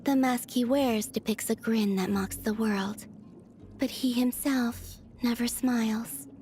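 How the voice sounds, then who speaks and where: An actress playing a dreamy, otherworldly young moon maiden in a video game, speaking in a soft, airy, musing way with innocent matter-of-factness. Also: female voice, a little high, close to an everyday voice. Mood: thoughtful. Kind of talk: scripted video game character voice lines. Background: background music.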